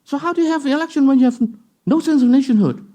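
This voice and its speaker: A man's voice: extremely high pitch, fast, warm tone. A man telling a story at a panel discussion, emphatic and fond of rhetorical questions. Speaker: panel guest. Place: event hall.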